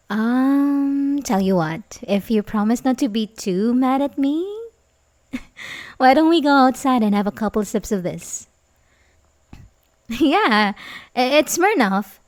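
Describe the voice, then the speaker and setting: A woman plays a young babysitter in an intimate ASMR roleplay, sweet on the surface and quietly obsessive underneath. Her voice is on the high side and she takes her time, halting and giggling softly as she coaxes the listener. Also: lively intonation. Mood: gently concerned. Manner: hesitant, halting, with little giggles. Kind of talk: ASMR roleplay acting, intimate one-sided dialogue.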